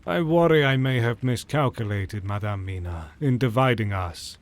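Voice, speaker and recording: Man doing an old vampire-hunting doctor, formal, animated delivery. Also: medium pitch, lightly acted. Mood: worried. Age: older. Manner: formal and measured. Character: learned elderly male vampire-hunting doctor. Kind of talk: audio drama acting.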